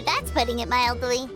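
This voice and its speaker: A woman voicing a small, childlike, gluttonous fairy companion with a squeaky voice in a video game. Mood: surprised, teasing. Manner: refers to self in third person, exclaiming. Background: music.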